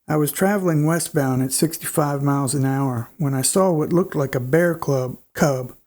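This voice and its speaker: A man with a congested, nasal voice hosting a show and reading listener encounter stories aloud in a steady, plain way. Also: fairly high pitch. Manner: steady, plain reading pace with small restarts. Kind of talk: storytelling narration.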